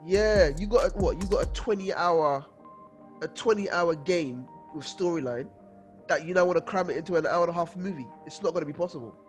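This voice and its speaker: A young man chatting casually as a podcast co-host, excitable and given to repeating his phrases. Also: a little high, brisk, faint music behind. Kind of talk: casual chat.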